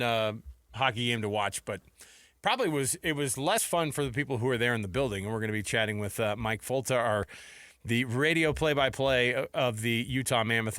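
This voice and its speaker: A man's voice: mid-range voice, fairly bright tone, no echo. American sports radio man, dry studio sound. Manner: informal, conversational. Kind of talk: casual sports talk with commentary. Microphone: close broadcast microphone.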